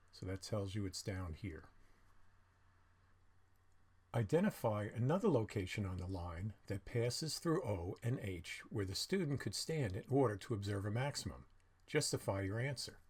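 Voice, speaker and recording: A male teacher with a somewhat low, husky voice narrates a lesson. He reads from prepared material, then explains it in informal asides, posing questions and answering them himself. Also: a little mellow. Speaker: physics teacher.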